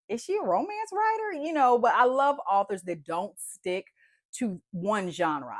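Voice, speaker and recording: A woman's voice: fairly low pitch, dry recording, studio quality. A woman book vlogger with a stuffy, congested voice, chatting casually and animatedly about books she loved. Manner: chatty, animated, says "y'all" and "like" often. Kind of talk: casual book review chat.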